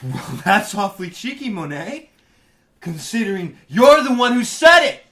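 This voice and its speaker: Satirical radio play: male actor as young lovesick writer, high, theatrical, unstable, slightly distant in an echoey room. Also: very animated, normal speed, a little hiss or hum. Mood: teasing. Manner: melodramatic, swinging from hushed to shrill.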